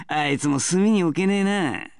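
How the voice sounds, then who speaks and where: A man's voice: high-pitched, animated, slow, vocal fry, dry recording, studio quality, exaggerated voice. A man voicing an easygoing, confident young pirate in an anime video game. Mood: amused, confident. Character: easygoing, confident young male pirate, elder brother type.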